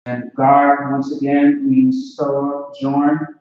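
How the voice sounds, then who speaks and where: A man's voice: medium pitch, completely flat delivery, extremely slow, faint music behind. Casual man teaching in an echoey room. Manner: casual, repetitive, checks understanding often. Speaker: language teacher. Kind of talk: informal online language lesson. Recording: distant lo-fi mic in a very echoey room.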